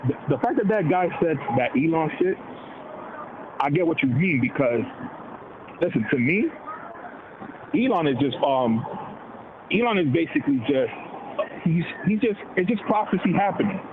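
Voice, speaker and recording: A man's voice: a little high, animated, normal speed, long pauses. A man of Dominican background talking casually and animatedly about politics, in slang, often putting on voices for the people he quotes. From Dominican heritage. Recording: tinny phone-like line with noise.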